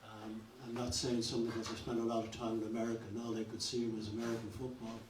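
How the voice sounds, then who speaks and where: An older man speaks off the cuff at a community event, rambling through jokes and heaping on praise. His voice sits at an ordinary pitch and carries through a roomy hall, picked up from a distance with steady noise underneath. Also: fast. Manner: rambling, joking, effusive. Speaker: guest speaker. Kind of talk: informal speech at a cultural evening. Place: function hall.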